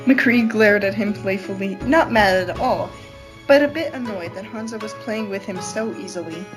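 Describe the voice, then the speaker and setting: A woman's voice: medium pitch, normal speed, music in the background. A woman podcast host reading fan fiction aloud as an amateur narrator.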